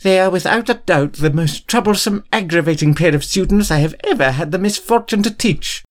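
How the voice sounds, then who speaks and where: A man reading an audiobook, voicing a stern older female professor with a crisp, clipped, prim delivery. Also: a little high, expressive, normal speed, pitched above the performer's own voice. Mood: exasperated. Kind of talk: audiobook character voice.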